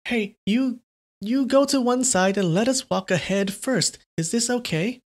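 An impatient middle-aged female nun, brusque and demanding. The reader lifts his voice high above his own for her, a man acting her out. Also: measured pace.

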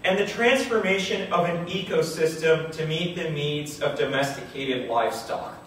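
A middle-aged man, a history professor, reading a scripted academic lecture in formal, deliberate phrasing. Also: a little high, fairly bright tone, far from the mic. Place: large indoor auditorium, at a podium on stage. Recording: echoey hall from afar, faint noise.

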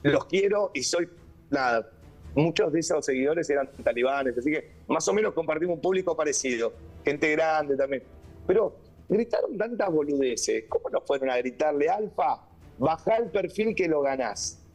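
An Argentine man speaks in a fairly high voice as a remote TV guest. He is colloquial and opinionated, with faint music behind him. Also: expressive, normal speed. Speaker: guest panelist. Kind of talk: casual TV debate. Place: indoor room, remote video call. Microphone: video call.

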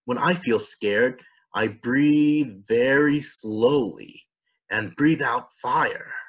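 A man voicing a kind, reassuring dragon in a storybook, gentle and encouraging. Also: medium pitch, drawn-out words, husky, rough recording.

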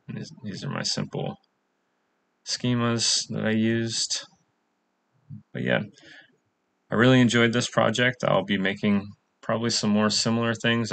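A man's voice: fairly low pitch, creaky, pushed and bright. A young adult man, a coding student, casually walking through his web app on a screen recording with lots of "um" fillers. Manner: casual, many "um" fillers, plain walkthrough. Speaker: coding bootcamp student presenting a project. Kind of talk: screen-recorded project demo.

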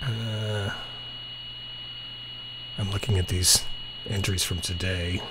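Middle aged guy, kinda deep voice, low-key hobby chat, some hum and noise in the room. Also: steady tone, slow pace. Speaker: hobbyist video host. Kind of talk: casual chat while demonstrating radio software. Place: small indoor home room. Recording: humming, clearly noisy home recording.

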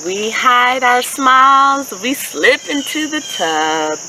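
A woman in her thirties reading a picture book expressively to children outdoors, addressing young listeners with questions in an animated, enunciated way. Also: sits high, slow pace. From Haitian American. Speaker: children's story reader, host. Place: outdoors in a leafy park. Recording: steady outdoor ambient noise with insects. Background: insects, birds, outdoor ambience.

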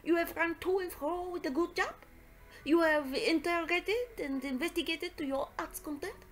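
A woman's voice: fairly high pitch, animated, moderate pace, soft background music, natural voice. A woman voicing a confident, middle-aged male detective, precise and affectionate, in an audiobook story. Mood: affectionate, effusive. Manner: precise, self-satisfied, foreign turns of phrase.

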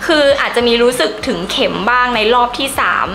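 A woman's voice: a little high, brisk, over music. A young woman gives a casual, enthusiastic review to camera in a chatty, emphatic way. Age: young adult. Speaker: beauty vlogger.

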